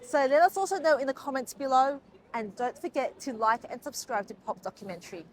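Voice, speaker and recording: High-pitched woman host. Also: animated, a little fast, slight background noise, poor audio. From Australia. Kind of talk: hosting a sit-down group interview.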